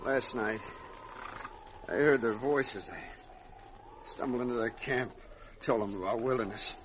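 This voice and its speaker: An actor playing an exhausted young snowblind prospector in an old-time radio drama, earnest and halting. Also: male voice, mid-range voice, natural voice. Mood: weak, pleading. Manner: weary, halting, hesitant speech. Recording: muffled, noisy vintage radio recording.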